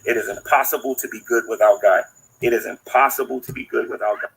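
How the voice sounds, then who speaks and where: A man's voice: medium pitch, fairly even intonation, fast, creaky, fairly bright tone, poor audio. A man in a played clip, accusing other channels in a preachy tone. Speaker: YouTube commentator in a played clip.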